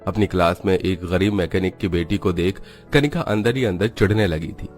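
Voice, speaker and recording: A man's voice: sits low, normal speed. A man reading scripted narration for a children's moral story. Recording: music behind the voice.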